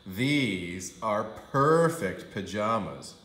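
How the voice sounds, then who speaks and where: A man's voice: lowish voice, lively intonation, fairly bright tone, roomy sound, full character voice. Man voicing a confident, emphatic storybook mom. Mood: knowing. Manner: emphatic, slow pronouncements. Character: confident, matter-of-fact mother in a storybook.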